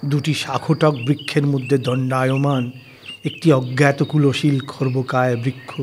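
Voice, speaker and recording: A man's voice: mid-range voice, level tone, a little slow, a little hiss or hum, lightly acted. A man playing a distressed, pious Brahmin subject who speaks to his king in formal, archaic words in an audio story. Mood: grave, shaken. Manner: formal, archaic, literary wording, hesitant. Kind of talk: dramatised audio story dialogue.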